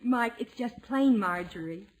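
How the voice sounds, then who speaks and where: A woman's voice: fairly high pitch, lively intonation, a little slow, a little mellow, poor audio. A woman playing an affectionate, polite young married niece in 1950s radio comedy, on an old radio recording. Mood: friendly, hurried.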